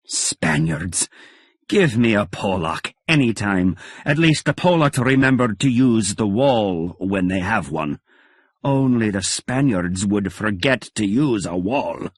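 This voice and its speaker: A man voicing a scornful old fencing teacher who scolds loudly in a raised voice. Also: animated, slow, no echo, studio quality. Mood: scolding. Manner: loud, scolding, raised pitch.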